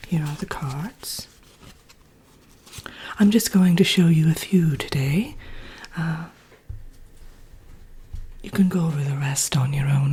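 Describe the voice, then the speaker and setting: A woman playing a haughty, wry evil queen villainess who teaches a workshop in a soft-spoken, whispered ASMR roleplay. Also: low voice, slight background noise. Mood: smug. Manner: haughty, wry, hesitant asides, deliberate.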